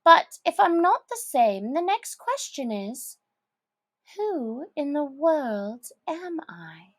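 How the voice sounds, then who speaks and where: A woman's voice: sits high, big pitch swings, takes it slowly, a bit bright, pitched above the performer's own voice, full character voice. A woman voicing a dreamy, fretful little girl who exclaims and muses theatrically to herself in a storybook reading. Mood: bewildered.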